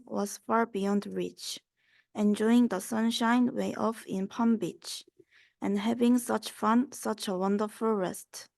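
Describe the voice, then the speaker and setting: A woman's voice: medium pitch, creaky, darkish tone, dry recording, studio quality. A young woman reading a children's rhyming story aloud. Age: young adult. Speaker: student reader.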